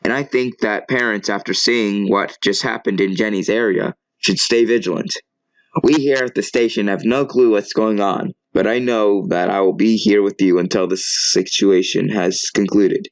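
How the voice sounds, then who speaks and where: A man's voice: fairly low pitch, fairly even intonation, normal speed, no room sound, close to an everyday voice, poor audio. A man playing a composed, earnest local news anchor reading a scripted broadcast. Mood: composed, serious.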